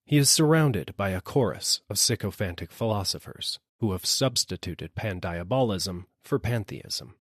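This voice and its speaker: A man's voice: lowish voice, no room sound, clean studio sound. A man reading a literary story aloud as a scripted audiobook narration. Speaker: audiobook reader.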